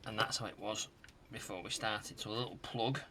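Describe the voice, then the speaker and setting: A man with a fairly low voice talks casually through a repair. He mutters to himself in short fragments as he works, and there is clear noise and clicking on the recording. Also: fairly even intonation, brisk. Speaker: host of a retro tech repair channel. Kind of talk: casual hands-on repair commentary.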